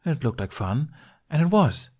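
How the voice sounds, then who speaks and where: A man's voice: mid-range voice, sing-song, normal speed, mellow, breathy edge, voice pushed up. A man voicing a playful, curious young man with a cheeky, eager manner in a story reading. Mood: amused.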